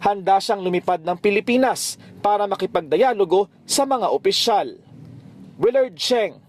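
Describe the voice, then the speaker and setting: A high-voiced man with a Filipino accent delivers a scripted news report. He narrates as a TV reporter does, setting out each point in formal broadcast style. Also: lively intonation, moderate pace, no room sound.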